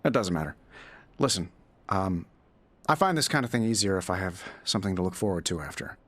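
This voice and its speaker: A man's voice: sits low, lively intonation, fairly quick, dry recording. A man playing a glib, flippant, wisecracking superhero in a video game. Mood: wry, glib. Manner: flippant, chatty.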